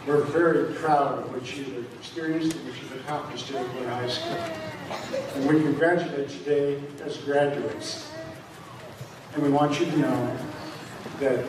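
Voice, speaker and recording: A man's voice: medium pitch, fairly even intonation, normal speed. Older male speaker, earnest advice at a podium, distant and echoey, noisy hall. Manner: earnest, advising. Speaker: commencement speaker. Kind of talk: formal speech. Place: large school gymnasium, at a podium. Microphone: podium microphone, heard from the back of the room. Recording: echoey gym, heard from far off.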